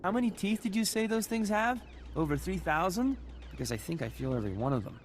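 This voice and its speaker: Whiny sarcastic sidekick in a game, voice all up and down, slight background noise. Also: male voice, sits high, very animated, fast. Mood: aggrieved, sarcastic. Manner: complaining, sarcastic. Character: whiny, sardonic male research assistant. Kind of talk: video game voice acting.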